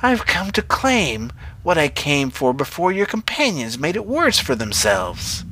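Storybook witch, played by a male narrator: old, menacing and sly, raised pitch, drawn-out words. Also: wildly swooping pitch, sharp tone, full character voice, poor audio. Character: menacing old witch, slyly pleased, vengeful.

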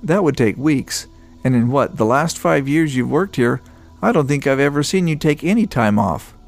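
A man's voice: on the high side, expressive, normal speed, vocal fry, soft background music, voice pushed up. A man voicing a talkative, joking male office coworker. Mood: curious.

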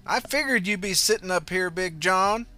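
A sly, teasing elderly ghost woman, put on by a man speaks in a high, strained register with a Louisiana Creole drawl. She sounds forceful and bright, and faint music plays behind her storybook scene. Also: big pitch swings, measured pace, full character voice. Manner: drawling dialect, chuckling.

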